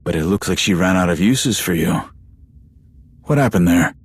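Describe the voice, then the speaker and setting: An actor playing a tough, hot-headed warrior in a video game, speaking in a blunt, threatening way. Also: male voice, low voice, animated, moderate pace, breathy edge, no echo. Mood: menacing, blunt.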